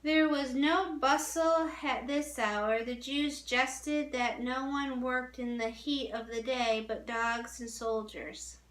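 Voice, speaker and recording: A woman reading a story aloud as narration, deliberately, with small stumbles over the text, in a roomy, echoing recording. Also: high voice, fairly bright tone.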